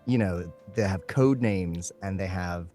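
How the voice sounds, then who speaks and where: A man's voice: low voice, unhurried, mellow, faint music behind. A man chatting casually as an interview guest, playful and a touch theatrical in how he puts things.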